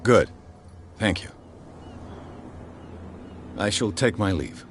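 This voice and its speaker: A man's voice: fairly low pitch, lively intonation, very slow, a little hiss or hum. A man playing a serious investigator in a video game, terse and formal.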